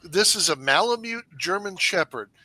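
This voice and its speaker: A man's voice: high-pitched, animated, moderate pace, sharp tone, no room sound, rough recording. A man hosting a casual livestream chat, chatty and teasing with his guest. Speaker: channel host.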